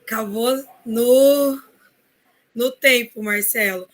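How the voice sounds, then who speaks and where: A woman's voice: fairly high pitch, slow pace. A Brazilian woman moderating a live online panel informally, a little hesitant, repeating words and often checking in with short tags.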